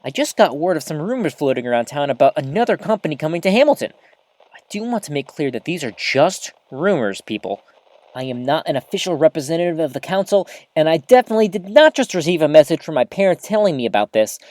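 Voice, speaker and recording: A performer plays an eager, easily flustered small-town radio host in an audio drama. The androgynous voice sits at a middle pitch and swings expressively through each sentence. The delivery is folksy and earnest, addressed straight to the townsfolk, in a dry recording. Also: quick pace, no echo, lightly acted. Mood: confiding, newsy. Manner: folksy, chatty, expressive, addresses listeners directly. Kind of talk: scripted audio drama as local radio broadcast.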